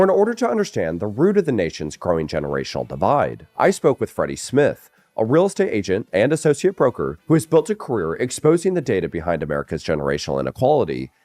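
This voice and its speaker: A man's voice: medium pitch, darkish tone, dry recording. A young man reading scripted documentary narration for a video essay. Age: young adult.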